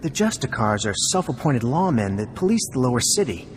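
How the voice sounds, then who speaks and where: A man's voice: medium pitch, sing-song, a little fast, a little hiss or hum, natural voice. An actor playing an anxious, courteous male embassy official in a video game, earnest and pleading in manner. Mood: concerned.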